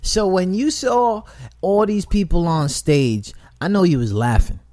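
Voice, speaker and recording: A man with a high voice hosting a casual radio chat, animated and profane, giving shout-outs and often repeating himself. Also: a little slow, no room sound. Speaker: internet radio host.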